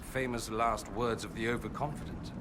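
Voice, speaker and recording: A man's voice: fairly low pitch, flat delivery, moderate pace, noisy background, close to an everyday voice. A man playing a mild, scholarly and tentative mage in a fantasy game. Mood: dry, musing.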